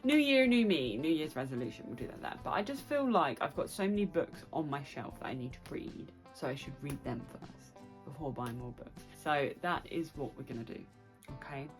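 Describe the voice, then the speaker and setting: Low-pitched young British woman, chatty vlogger. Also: normal speed, soft background music. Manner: chatty, excitable, addresses viewers directly. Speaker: book vlogger, host. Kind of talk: casual chatty vlog to camera.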